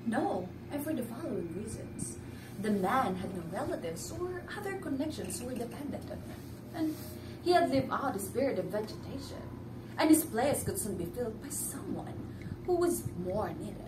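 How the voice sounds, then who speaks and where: A young woman playing a cool, confident woman with a criminal secret on stage, speaking deliberately and emphatically. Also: a little high, lively intonation, close to an everyday voice. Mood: dramatic recounting. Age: young adult. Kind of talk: theatrical stage acting. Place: indoor living-room stage set. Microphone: far camera. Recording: echoey room, distant mic, background noise.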